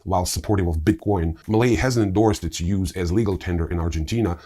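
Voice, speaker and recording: A man hosting a scripted news roundup, reading in a halting way with informal, dry asides. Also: deep voice, dark tone. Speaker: news host.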